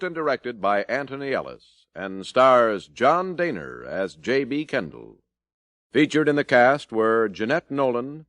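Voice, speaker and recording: Polished American radio announcer, lively-pitched man. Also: no echo, clean studio sound. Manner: polished, formal. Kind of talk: 1950s radio announcing, scripted. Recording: old radio recording, orchestra behind.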